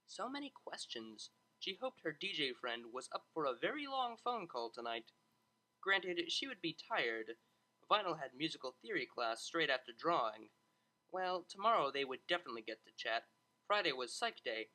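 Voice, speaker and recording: A narrator giving an expressive, dramatized reading of a scripted story. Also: mid-range voice, rough recording. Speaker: audiobook narrator.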